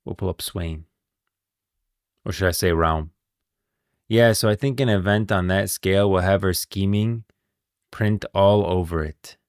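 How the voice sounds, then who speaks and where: A man's voice: deep voice, measured pace, pauses often, clean tone, no room sound, studio quality. A man giving casual live commentary as a game lore streamer, informal and musing aloud.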